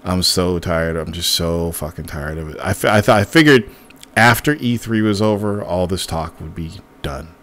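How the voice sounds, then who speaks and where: A man's voice: sits low, normal speed, a bit bright. A man hosting a casual podcast chat, blunt and exasperated, swearing as he talks. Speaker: podcast host.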